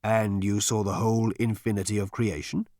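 A man's voice: on the low side, steady tone, darkish tone, no echo, clean studio sound, close to an everyday voice. A man voicing a stunned, disembodied mind. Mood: amazed. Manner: halting, stunned questioning. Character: astonished disembodied male mind, stammering.

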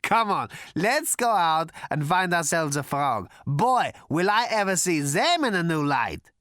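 A man's voice: sits high, very animated, normal speed, vocal fry, a bit bright, no room sound, clean studio sound, pitched above the performer's own voice, heavily acted. A shrieking, giddy manic man. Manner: shrieking, giddy laughter-laced delivery. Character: giggling, manic adult man, hysterical.

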